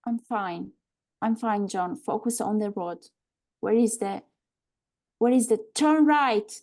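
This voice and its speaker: A woman acting a frantic, sharp-tongued woman in a live screenplay table read, halting and repeating herself under stress. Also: mid-range voice. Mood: tense, snappy.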